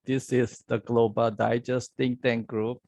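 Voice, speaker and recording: A man hosting a podcast interview, speaking halting non-native English with repetitive phrasing. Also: mid-range voice, flat delivery, a little mellow, dry recording. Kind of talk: podcast interview on world crises.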